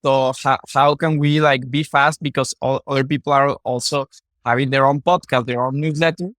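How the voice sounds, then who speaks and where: A man from Mexico with a Mexican Spanish accent, a native Spanish speaker, talking casually as a podcast guest in halting, repetitive phrases. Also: medium pitch, fairly even intonation, fairly bright tone, no room sound. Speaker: podcast guest, content creator. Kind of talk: casual interview talk.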